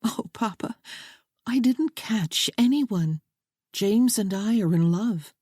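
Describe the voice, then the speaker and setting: A woman's voice: medium pitch, lively intonation, slow pace, dark tone, breathy edge, no room sound, studio quality, voice pushed up. A woman voicing an earnest young bride speaking to her husband and her father.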